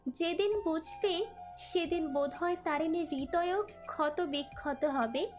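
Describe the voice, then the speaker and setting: খুব চড়া স্বরের নারী গল্পকথক. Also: female voice, extremely high pitch, a little slow. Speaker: story narrator. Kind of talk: scripted story narration. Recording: background music under narration. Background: music.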